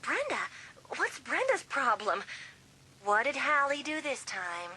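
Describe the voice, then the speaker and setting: Woman as sassy high school girl, audiobook drama, high-pitched, very animated, husky edge, teasing. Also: measured pace, heavily acted. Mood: surprised, casual. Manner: slangy, expressive, teasing.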